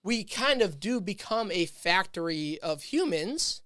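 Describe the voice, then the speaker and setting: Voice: mid-range voice, sing-song, measured pace, a bit bright, no room sound, clean studio sound. A podcast host thinking aloud in casual chat, rambling with many fillers and restarts.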